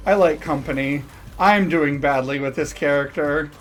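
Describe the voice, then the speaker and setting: A man's voice: on the high side, normal speed. A man giving casual, self-deprecating and hesitant commentary as he streams a game.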